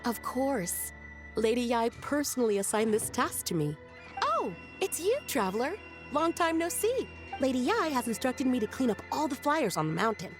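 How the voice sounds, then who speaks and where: Earnest fretful shrine maiden sister for a game, high pitched, very up and down. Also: female voice, normal speed, faint music behind. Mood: bright, puzzled. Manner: expressive, earnest. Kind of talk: English video game voice acting.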